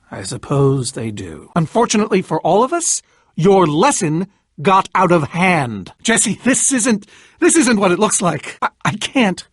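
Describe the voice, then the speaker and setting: Boastful evasive adventurer, high voice, swooping intonation, wordy, nervous, theatrical video game dialogue. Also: male voice, breathy edge, dry recording, clean studio sound. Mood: rueful, explanatory, then flustered, apologetic. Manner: wordy, theatrical, stammering when flustered. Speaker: voice actor in a story video game.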